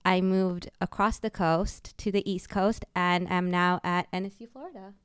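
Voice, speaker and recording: A woman's voice: fairly low pitch, normal speed, clean tone, no echo, studio quality. A young woman who grew up in Kenya, co-hosting a podcast in an upbeat, friendly casual chat. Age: young adult. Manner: upbeat, friendly, light laughter. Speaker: podcast co-host.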